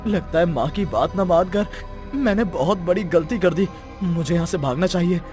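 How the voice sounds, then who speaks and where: A man playing an earnest, easily spooked young village son in an animated story. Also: sits high, moderate pace, faint music behind, close to an everyday voice. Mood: frightened, confused.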